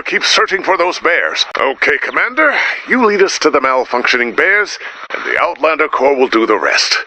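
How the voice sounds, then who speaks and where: An actor voicing a fussy, exasperated base director in a video game, officious and wordy, with hesitant moments. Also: male voice, on the high side, bright, forceful tone, no echo, exaggerated voice. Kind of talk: animated video game mission dialogue.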